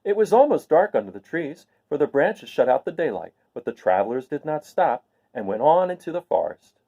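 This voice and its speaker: A man reading a children's story aloud in expressive scripted narration. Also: on the high side, brisk, vocal fry, slightly rough, highs cut off. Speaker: storybook reader.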